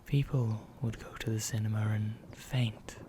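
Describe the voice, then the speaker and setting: A man playing an ancient, condescending vampire in an ASMR roleplay, speaking softly and deliberately, often slipping into a whisper, with calm menace. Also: fairly low pitch, background noise, natural voice. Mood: calm, menacing. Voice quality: soft, breathy, often dropping into whisper. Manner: slow, deliberate, pausing, formal.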